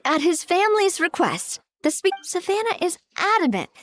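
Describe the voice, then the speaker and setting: An actress playing a poised, friendly and wry young woman in a video game, talking conversationally like an expressive storyteller. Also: very high-pitched, normal speed, no echo, heavily acted. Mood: earnest, apologetic.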